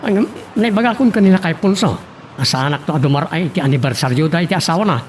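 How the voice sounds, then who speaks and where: A man's voice: on the high side, animated, fast, a little hiss or hum, lightly acted. A man playing an easygoing but shady son-in-law with an Ilocano Filipino accent in an amateur audio drama. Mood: guarded.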